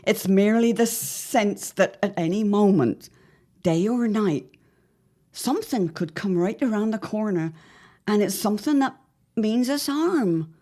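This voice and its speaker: Earnest wife in a period drama, lively pitch, acted by a woman. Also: measured pace. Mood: uneasy, earnest. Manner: formal, old-fashioned, earnest. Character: anxious, foreboding middle-aged wife in 1914. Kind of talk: period audio drama acting.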